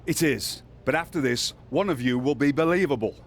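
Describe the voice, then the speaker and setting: A man's voice: mid-range voice, brisk, a little hiss or hum, natural voice. A man playing a pompous, theatrical wrestling general manager in a video game cutscene, speaking in formal, grandiose phrases. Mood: pompous, officious.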